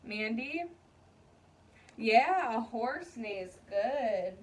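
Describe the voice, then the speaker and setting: A woman with a fairly high voice leads a children's story time like a classroom teacher. She reads aloud and talks to young pupils, checking in with short questions. The microphone sits away from her, and there is noticeable background noise. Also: animated, extremely slow. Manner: addresses young pupils, frequent checking questions like "okay?" and "right?". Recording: distant mic, clear background room noise.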